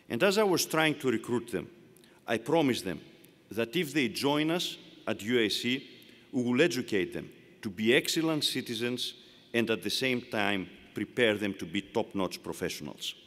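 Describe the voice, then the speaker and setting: A man with a Greek accent giving a formal commencement speech, delivering measured phrases one at a time from a podium. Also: mid-range voice, lively intonation, a bit bright. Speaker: university leader giving commencement address. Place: large hall. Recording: long reverb of a large hall.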